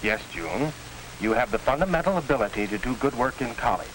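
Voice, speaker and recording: An American actor playing a reassuring school counselor in a 1940s instructional film, with formal, deliberate, didactic delivery. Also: male voice, mid-range voice, lively intonation, close to an everyday voice. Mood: measured, reassuring. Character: measured, reassuring male school vocational counselor. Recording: noisy, hissy 1940s film soundtrack.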